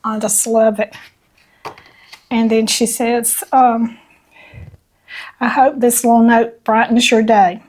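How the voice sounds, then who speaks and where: Older woman, somewhat high voice, slightly hoarse, folksy and friendly, reading viewer mail aloud. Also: level tone, slow, pauses often, a little mellow. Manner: folksy, reads notes aloud with small laughs. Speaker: channel co-host.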